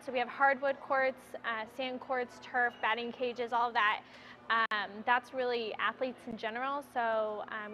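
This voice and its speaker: A woman's voice: high voice, pushed and bright, dull audio, slight background noise. A woman on staff at a sports venue answering interview questions casually, with fillers and small laughs.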